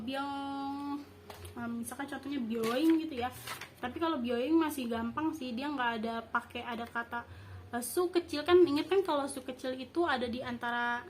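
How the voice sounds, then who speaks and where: High-voiced young woman teaching informally. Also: slight background noise. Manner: halting, improvised explaining with frequent self-corrections. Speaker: host teaching basic Japanese. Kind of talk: informal language review lesson.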